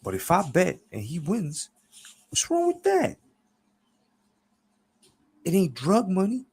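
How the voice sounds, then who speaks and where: A man voicing a slick, cocky male street hustler with slangy, smooth talk in a told story. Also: high voice, wildly swooping pitch, slow pace, darkish tone, pitched above the performer's own voice, full character voice. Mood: smiling, wheedling.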